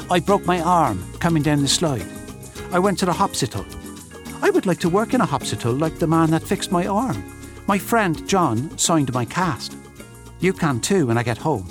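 An actor reading letters as a blunt, chatty young schoolboy with a simple, childlike way of talking. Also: male voice, fairly high pitch. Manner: childlike, simple run-on telling. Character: young schoolboy writing blunt, chatty notes to his friend.

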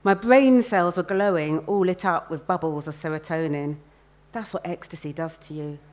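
A woman's voice: lowish voice, normal speed, a little mellow. A woman, an author reading first-person fiction aloud expressively at a live event.

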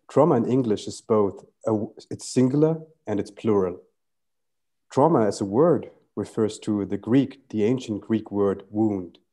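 A man in his thirties from Sri Lanka, whose first language is Tamil, speaks in a low register with a warm, mellow tone. He talks slowly and deliberately, building his thoughts through poetic images and a string of rhetorical questions. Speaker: poet guest. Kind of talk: reflective literary conversation.

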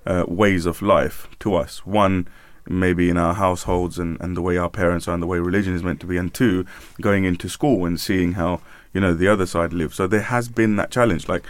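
A man's voice: sits low, steady tone, a little fast, hardly any pauses. A man co-hosting a radio discussion, explaining his points earnestly with plenty of "you know" and "um". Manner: earnest, explanatory, many fillers. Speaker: radio show co-host. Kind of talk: casual panel discussion.

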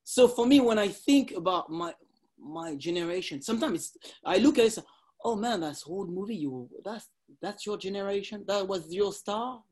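A man, chatting casually about parkour in animated, slightly broken English with laughs. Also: high-pitched, normal speed, slightly rough. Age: 40s. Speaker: parkour practitioner. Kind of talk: casual conversation.

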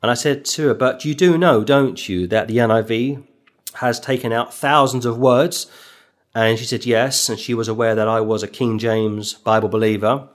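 A man preaching a sermon, quoting scripture in a raised voice and dropping low for personal asides. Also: fairly even intonation, normal speed.

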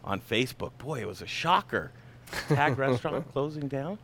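A man's voice: medium pitch, expressive, normal speed, a bit bright. A man in his fifties hosting a casual, chatty interview. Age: 50s. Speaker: interview host. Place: downtown Denver venue. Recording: clear background noise, ambience.

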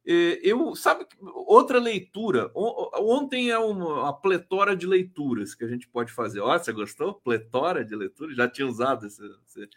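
A male host with a fairly high voice leads a live talk show in a playful, bantering way. He stops on fillers before getting to his point. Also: lively intonation, moderate pace, few pauses, a bit bright. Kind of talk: casual live show hosting.

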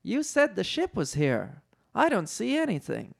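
A man puts on a haughty space princess general for a comic story. She speaks well above a man's usual pitch, indignant and demanding, with a creaky edge. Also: wildly swooping pitch, a little slow, exaggerated voice. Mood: puzzled.

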